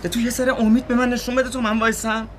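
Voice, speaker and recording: A bitter cornered fugitive. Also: male voice, very high-pitched, level tone, slight background noise. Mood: hushed tense. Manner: hushed, bitter, then shouting in anger. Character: desperate young man on the run after killing. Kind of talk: TV drama acting.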